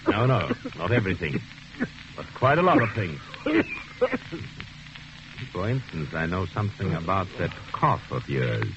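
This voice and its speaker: A man's voice: sits low, very animated, measured pace, long pauses, a bit bright, a little hiss or hum, exaggerated voice. An actor playing a cold, calculating male convict who torments his cellmate, in old radio drama style from a dull, dated recording. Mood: smug. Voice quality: smooth, precise, sinister. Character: cold, scheming male convict, cruelly intellectual. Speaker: prisoner narrating his scheme. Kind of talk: 1940s radio drama acting.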